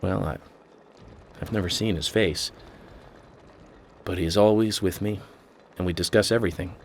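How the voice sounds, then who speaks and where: An American man playing an eccentric, introspective federal agent in a video game, with a measured, earnest, confiding manner. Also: sits low, fairly even intonation, a little hiss or hum. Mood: hesitant, confiding. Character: eccentric, introspective male FBI agent with an imaginary friend. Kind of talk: video game cutscene dialogue.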